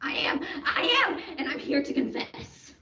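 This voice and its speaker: A roomy, distant recording of a crazed self-confessed killer played by a young woman. The voice is rather high and wildly melodramatic, full of boastful menace in comic radio-play style. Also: big pitch swings, measured pace, sharp tone, a little hiss or hum, heavily acted. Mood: insistent. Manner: melodramatic, theatrical. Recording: dull, roomy, distant microphone.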